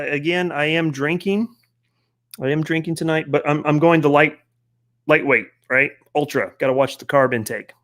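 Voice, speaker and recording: Guy hosting a live stream, kinda high voice, loose and friendly, shouting out chat names. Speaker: host.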